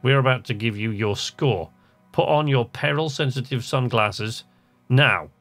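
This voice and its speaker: A man's voice: mid-range voice, measured pace, fairly bright tone, soft background music. A man casually commentating on a game he plays, chatting and muttering to himself and reading on-screen text aloud. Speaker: let's play host.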